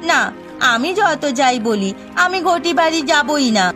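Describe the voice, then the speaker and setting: A woman performs a young village woman for a cartoon. She is spirited and stubborn, with a high voice and folksy talk. Soft music sits behind her. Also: expressive, measured pace, heavily acted. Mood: playful defiance. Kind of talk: cartoon dialogue.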